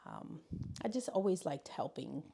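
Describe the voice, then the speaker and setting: A woman from New York City, a high school learning specialist, reflecting on her path into teaching in an interview, with frequent restarts and fillers. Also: wildly swooping pitch, measured pace, gravelly, mellow, husky. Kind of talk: interview conversation.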